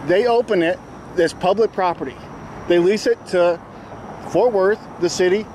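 A man's voice: high voice, normal speed, darkish tone. A man arguing insistently with police in an outdoor parking lot at night, recorded on his own handheld camera. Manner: argumentative, insistent, repeats demands. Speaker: activist filming police. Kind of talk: heated argument with police. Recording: noisy outdoor night street.

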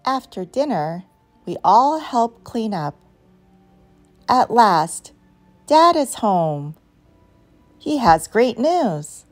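A woman's voice: fairly high pitch, sing-song, extremely slow, pauses often. A woman reading a picture book aloud expressively for young children, as a storyteller. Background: background music.

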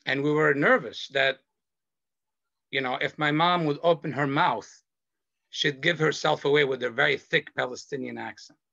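A middle-aged man from Lebanon, a panelist sharing a personal story in a slow, earnest, measured way over a video call. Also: on the high side, gravelly, pushed and bright, no room sound. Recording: dull video call audio.

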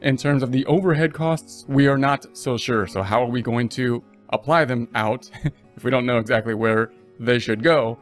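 A man's voice: medium pitch, moderate pace. Man explaining accounting over faint guitar. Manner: conversational, restarts mid-phrase, thinks aloud. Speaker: accounting instructor. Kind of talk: casual explanatory lecture over slides.

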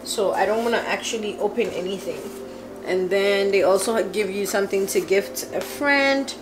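A woman in her twenties chatting casually in her kitchen for a home vlog, chatty and excitable with frequent laughs. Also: medium pitch, moderate pace, over music. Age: 20s. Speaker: vlogger.

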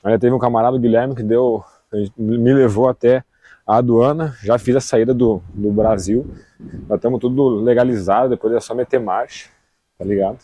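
Male travel vlogger, thirties, southern Brazilian accent, soft dark tone with a slightly rough edge, informal slangy delivery, recorded outdoors on an action camera. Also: medium pitch, steady tone, moderate pace, dry recording, highs cut off. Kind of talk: casual vlog chat. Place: outdoors on an open beach and dunes. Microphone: action camera at arm's length.

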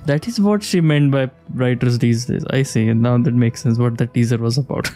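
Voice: mid-range voice, moderate pace, warm tone. A game streamer giving casual, informal commentary with frequent giggly reactions. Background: game music.

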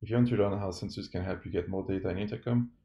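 A man narrating a step-by-step software tutorial as a demo presenter. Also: fairly low pitch, fairly even intonation, very fast, muffled recording. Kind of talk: scripted tutorial narration over a screen recording.

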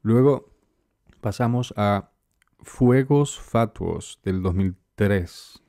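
A man's voice: fairly low pitch, extremely slow, vocal fry, dark tone. A man in his thirties from Ecuador hosting a podcast interview, friendly, with short prompts and easy laughter, recorded in a small studio. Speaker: podcast host. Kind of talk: casual interview. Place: dim small studio room, indoors. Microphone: studio microphone close up.

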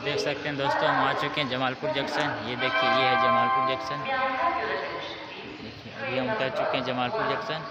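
A young man talks to his viewers in a casual vlog style. His pitch stays fairly flat as he explains what is around him. He is recorded outdoors on a handheld phone, with loud music behind him. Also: fairly quick, low-quality recording. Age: 20s. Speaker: travel vlogger. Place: outdoor railway platforms and footbridges. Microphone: phone at arm's length.

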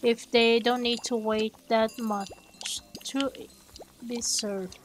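A Brazilian woman whose first language is Portuguese, commenting slowly and haltingly in English as she plays and thinks aloud. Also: fairly high pitch, fairly even intonation, slight background noise. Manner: slow, halting, thinking aloud while playing. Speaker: gaming channel host. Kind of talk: gameplay commentary.

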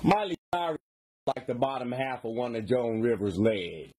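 A man's voice: medium pitch, big pitch swings, moderate pace. A man in his thirties telling crude jokes casually as a podcast host, irreverent and prone to chuckling. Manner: irreverent, chuckling between lines. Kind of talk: casual joke-telling, crude roast humour. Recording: clear background noise, clicks.

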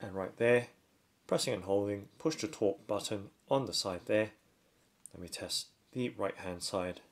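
Man, casual product reviewer, gravelly, very slow, halting short phrases, step-by-step demo. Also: lowish voice, a little hiss or hum. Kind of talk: casual unboxing and hands-on demonstration.

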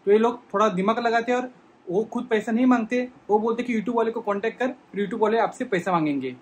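A man's voice: high-pitched, fast, slight background noise. A young man explaining informally and emphatically to viewers, repeating points, recorded in a small room. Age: young adult. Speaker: vlogger warning about scams. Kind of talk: explanatory talk to camera.

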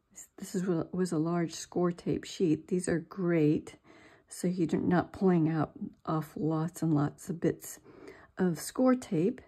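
Slow, husky-voiced woman crafting. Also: lowish voice, warm tone. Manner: slow, chatty, self-deprecating. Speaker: craft tutorial host. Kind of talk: step-by-step craft demonstration. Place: indoors at a craft table.

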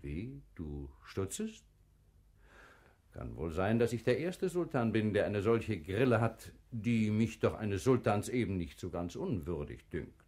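A man playing a proud, probing sultan in a classical radio drama, with theatrical, deliberate, commanding phrasing. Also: on the low side, sing-song, a little mellow. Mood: probing, lofty. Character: proud, probing male sultan of crusade-era Jerusalem. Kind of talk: classical stage verse radio drama acting.

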